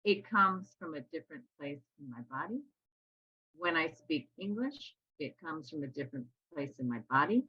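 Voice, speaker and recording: A woman co-hosting a casual discussion, talking in an animated way. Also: low-pitched, normal speed, husky, dry recording. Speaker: co-host. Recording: dull, dry, lo-fi sound.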